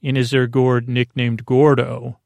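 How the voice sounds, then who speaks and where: A man with a very creaky, gravelly voice rambles through a bedtime podcast monologue. His pitch stays quite flat as he hesitates, doubles back and meanders. The sound is close and dry. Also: dry recording. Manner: hesitant, meandering, halting, trailing off. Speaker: podcast host.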